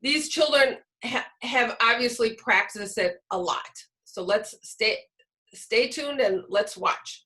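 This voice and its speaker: Female instructor, bright tone, unhurried and halting, talking informally through a lesson. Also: a little high, low-quality recording. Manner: halting, searching for words. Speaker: teacher leading a training session.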